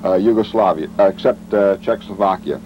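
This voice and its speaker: A man giving a military training lecture as an instructor, matter-of-fact and measured, speaking in short deliberate phrases. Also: deep voice, highs cut off. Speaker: weapons instructor and presenter. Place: outdoors at a weapons demonstration. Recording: vintage training film, noisy outdoor sound.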